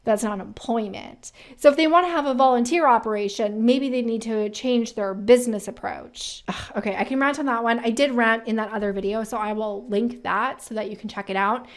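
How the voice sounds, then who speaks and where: A woman's voice: fairly high pitch, fairly quick, hardly any pauses. A woman hosting a career advice video, talking in a chatty, informal and emphatic way, freely giving her opinions. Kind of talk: chatty direct-to-camera commentary.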